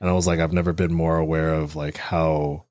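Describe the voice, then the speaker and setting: Man, podcast host, very deep voice, dry sound, thoughtful, thinking aloud. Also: little pitch movement, a little fast, darkish tone, dry recording. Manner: reflective, thinks aloud with many "you know" and "right?". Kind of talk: casual chat.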